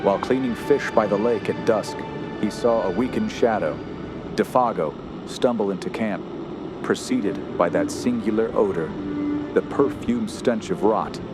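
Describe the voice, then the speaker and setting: A man's voice: lowish voice, measured pace, over music, natural voice. A man playing a somber storyteller, narrating a radio horror drama in a grave, literary manner.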